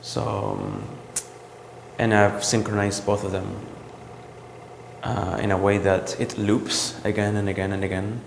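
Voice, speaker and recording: A man from El Salvador, a visual artist, talking about his work in a gallery in a reflective, halting way with many ums and restarts. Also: lowish voice, expressive, creaky, a little hiss or hum. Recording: roomy gallery echo with long reverb.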